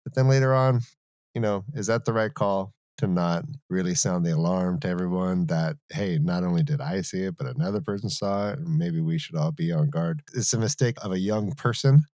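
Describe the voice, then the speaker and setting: A man's voice: sits low, a little fast, darkish tone, no echo. A man co-hosting a casual book podcast, talking in a jokey, mock-serious way. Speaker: co-host of a fantasy book re-read podcast.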